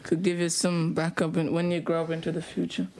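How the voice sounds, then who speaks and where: A young man hosting a casual radio chat, relaxed and quick to laugh, from South Sudan, switching between languages. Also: fairly high pitch. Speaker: youth radio host.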